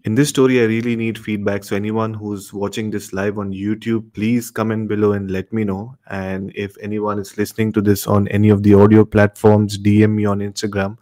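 Low, even-toned male show host. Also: fairly quick, few pauses. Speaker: host of a horror radio show. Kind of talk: live show hosting, addressing audience.

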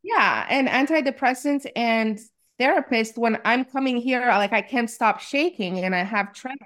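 A woman's voice: on the high side, moderate pace, a bit bright. A woman in her forties, originally from Romania, speaking as a life coach guest in an open, chatty way, with lots of "like"s. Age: 40s. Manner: open, chatty, frequent likes and laughs. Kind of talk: casual interview chat.

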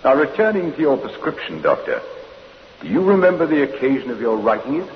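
An actor playing a probing, theatrical prosecuting barrister, formal and deliberate, in a radio courtroom drama. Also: male voice, medium pitch, very animated. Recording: echoey, muffled, hissy old radio recording.

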